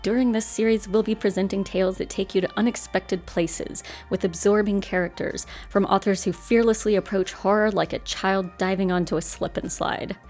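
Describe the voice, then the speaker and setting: A woman's voice: mid-range voice, fairly even intonation, normal speed, few pauses, a little mellow, soft background music. A woman hosting a radio horror show, reading a scripted introduction in an arch, wry, theatrical manner.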